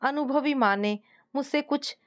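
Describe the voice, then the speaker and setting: A woman's voice: high voice, a little slow. Woman narrating a domestic story. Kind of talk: scripted first-person domestic story narration.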